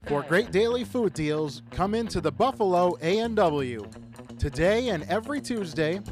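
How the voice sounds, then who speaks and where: Lively American male ad announcer over music. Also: on the high side. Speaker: radio commercial announcer. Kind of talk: scripted ad read.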